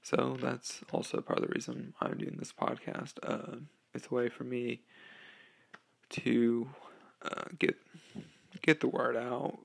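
A sixteen-year-old boy hosting his own podcast, talking casually and hesitantly with lots of ums and uhs, through a low-quality microphone. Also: completely flat delivery, slow pace, creaky, pauses often. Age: teens. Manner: hesitant, many ums and uhs, occasional laughs. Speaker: podcast host. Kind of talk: casual solo podcast talk. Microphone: low-quality home microphone.

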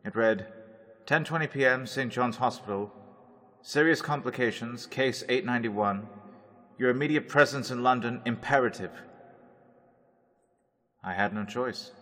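A man narrating a classic radio drama, reading journal entries in a measured, low voice. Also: fairly bright tone. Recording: long reverb, faint music.

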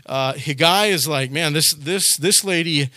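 A pastor delivering a sermon in a relaxed, chatty manner, often repeating words as he gathers his thoughts. His voice sits a little high for a man. The sound is dry, with little room echo. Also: unhurried. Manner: conversational, folksy, with frequent repetitions and asides.

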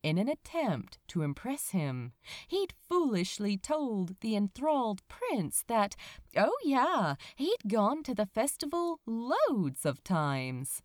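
Woman narrating fiction with very animated pitch. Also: slow, dry recording, clean studio sound. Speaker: fan fiction audiobook reader. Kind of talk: expressive scripted narration of fantasy fiction.

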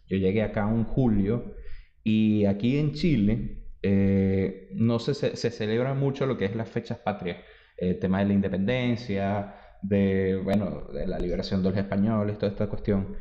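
A man's voice: fairly low pitch, warm tone, reverberant, highs cut off. A man, co-hosting a casual podcast and explaining local customs like a storyteller. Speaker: podcast co-host. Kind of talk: casual chat.

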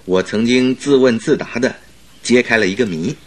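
Male actor as detective's eager companion, radio play, husky middle-pitched voice, inquisitive, genial. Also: moderate pace, close to an everyday voice. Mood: puzzled, curious. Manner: inquisitive, chuckling, exclamatory. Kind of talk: Mandarin radio drama acting.

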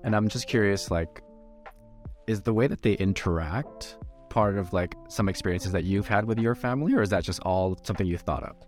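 A man's voice: on the low side, fairly quick, soft background music. A man hosting a podcast, asking curious, slightly hesitant questions in a casual interview. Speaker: podcast host.

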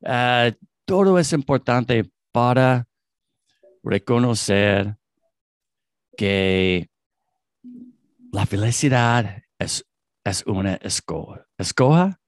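Native English man as interview guest, slow halting Spanish, lowish voice, dry recording. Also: animated, dry recording. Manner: hesitant, halting non-native Spanish with restarts. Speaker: podcast host, guest. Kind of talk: interview conversation.

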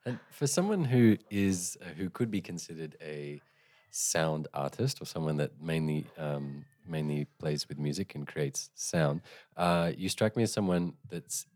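A man with a very low voice hosts an interview. He asks measured questions and nudges the guest to explain herself. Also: darkish tone. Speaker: interviewer host.

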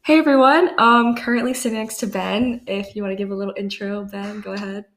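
A woman's voice: mid-range voice, steady tone, fairly quick, fairly bright tone. A young woman hosting a podcast who talks in a chatty, upbeat way and uses lots of fillers. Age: young adult. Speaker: podcast host. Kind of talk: casual podcast monologue.

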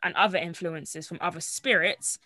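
A woman's voice: on the low side, moderate pace, bright, forceful tone, no room sound. Dry-sounding woman host reading scripture. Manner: rambling, many fillers, reads verses aloud. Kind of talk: casual faith discussion with scripture reading.

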